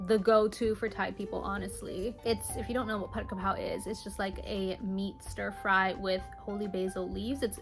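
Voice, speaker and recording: A young American woman in her mid-twenties talks to her viewers in a casual question-and-answer vlog. Her voice sits at an average pitch for a woman. She speaks informally with girly slang, and soft music plays behind her. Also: vocal fry. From Hawaii, United States. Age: mid-20s. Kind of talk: casual chatty Q&A to camera.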